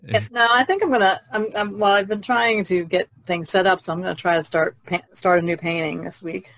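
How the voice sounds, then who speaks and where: A woman's voice: medium pitch, fairly even intonation, fairly quick, fairly bright tone. A woman chatting casually as an artist on a podcast panel.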